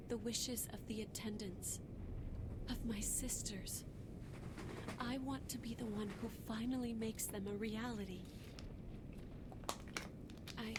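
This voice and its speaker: A woman playing a gentle, solemn young attendant in a video game cutscene, speaking softly and earnestly. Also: a little high, heavy background noise, natural voice. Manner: soft, slow, earnest. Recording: game audio with loud music.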